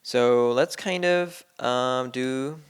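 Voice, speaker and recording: A man's voice: mid-range voice, extremely slow, clear, resonant tone. A man walking through a coding tutorial on his screen in a loose, rambling way with lots of fillers. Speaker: coding instructor. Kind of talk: casual screen-share tutorial. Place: at a computer, screen recording.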